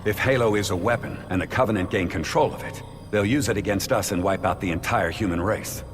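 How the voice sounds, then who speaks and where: A man playing a resolute older naval captain in a sci-fi game cutscene, with an authoritative, measured way of speaking. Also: on the low side, big-room sound, music in the background, lightly acted. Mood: grave, resolute. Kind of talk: cinematic sci-fi game acting.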